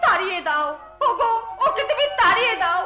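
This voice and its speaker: A woman's voice: very high-pitched, lively intonation, brisk. A woman playing an anguished, righteous middle-aged stepmother in melodramatic folk theatre, plaintive and declamatory. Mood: impassioned. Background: music.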